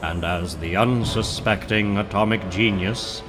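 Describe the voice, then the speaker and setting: A man's voice: on the low side, a little slow. A man giving a dramatic, portentous narration of a comic book story. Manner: theatrical, portentous. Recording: loud music under the voice. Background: music.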